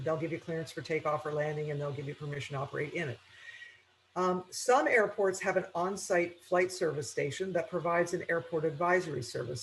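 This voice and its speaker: A man speaks in a somewhat high register. He explains things like an instructor in an informal presentation, repeating key points for emphasis. Some noise sits behind the voice. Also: low-quality recording. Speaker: aviation instructor and pilot.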